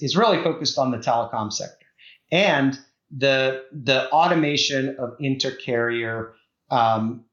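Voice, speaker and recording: A man's voice: medium pitch, fairly bright tone, roomy sound, lo-fi sound. A middle-aged man from the Czech Republic, a guest executive talking in a podcast interview, hesitant, with many fillers and restarts.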